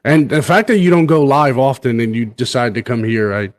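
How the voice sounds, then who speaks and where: A man's voice: mid-range voice, lively intonation, a little fast. A man hosting a radio interview, giving short affirmations and politely thanking his guest. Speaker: radio host.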